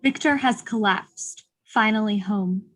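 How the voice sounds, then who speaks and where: A woman's voice: mid-range voice, unhurried, lightly acted, poor audio. A woman reading stage directions as the neutral narrator of a drama reading.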